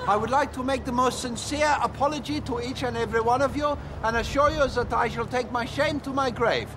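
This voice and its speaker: An actor playing an earnest, guilt-ridden young German man with a German accent, who talks in stiff, over-formal phrases and bursts into shouted rants. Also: extremely high pitch, fairly quick, very noisy. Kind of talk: TV sketch comedy acting.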